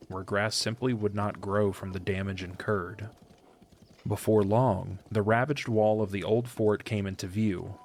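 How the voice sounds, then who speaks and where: A man narrating a scripted fantasy story in a measured, literary storytelling manner. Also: lowish voice. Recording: faint background ambience noise.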